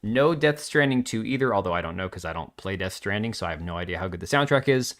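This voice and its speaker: A middle-aged man hosting a live stream, chatting casually and reacting to chat with quick jokey asides. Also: lowish voice, animated, fairly bright tone. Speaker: livestream host.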